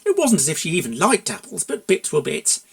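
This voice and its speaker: Creaky-voiced expressive story narrator. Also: medium pitch, fairly quick.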